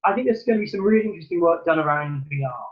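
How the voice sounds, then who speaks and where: A man's voice: fairly high pitch, animated, racing, narrow, tinny audio, faint music behind. A man from Newcastle giving thoughtful, earnest answers as a theatre producer in a remote interview, with many ums and restarts. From Newcastle, England.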